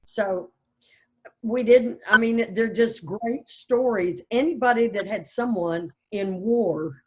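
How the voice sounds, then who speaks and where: A woman's voice: medium pitch, slow pace, husky, dull audio. An older woman talking casually as a writer in a group discussion on a video call. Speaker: playwright in the discussion. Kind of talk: casual chat. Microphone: video call microphone.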